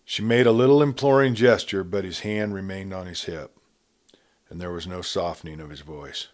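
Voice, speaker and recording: A man narrating a Western novel in a measured, quiet reading style. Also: sits low. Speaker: storyteller. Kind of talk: scripted story narration.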